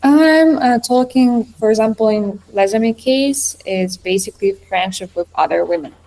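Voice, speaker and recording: A woman's voice: a little high, moderate pace, dark tone, low-quality recording. A woman from Estonia, answering conversationally and earnestly as an interview guest. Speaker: interview guest, app founder.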